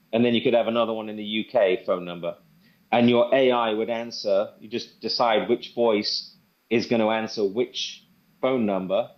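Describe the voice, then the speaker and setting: Male presenter, mid-pitched, explanatory and repetitive, informal sales demo, dull online call sound. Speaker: presenter demonstrating software.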